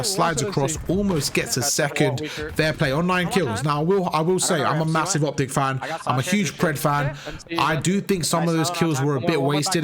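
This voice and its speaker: Fast-talking man breaking down gameplay, slightly croaky. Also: fairly high pitch. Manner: casual, slangy, repeats stock phrases. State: sore throat, slightly croaky. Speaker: gaming YouTuber, host.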